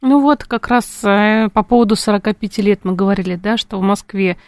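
A host with a mid-pitched voice asks a guest questions at a brisk, rapid pace. The delivery is chatty and direct. The recording is dry and close, with no room echo. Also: clean tone. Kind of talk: interviewing, reading listener questions.

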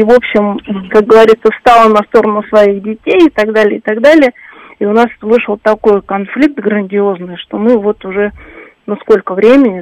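A woman's voice: medium pitch, a little mellow, no room sound, rough recording. Chatty female radio caller. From Russia. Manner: chatty, candid, a little rueful. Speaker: phone-in caller. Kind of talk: caller telling a personal story.

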